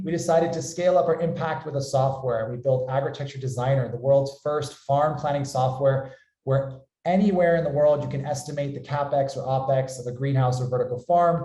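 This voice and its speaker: A man's voice: mid-range voice, brisk, hardly any pauses, warm tone. An upbeat American man speaking as a company founder, introducing and hosting an online webinar with enthusiasm. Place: indoor room, online webinar call. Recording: roomy, echoey sound.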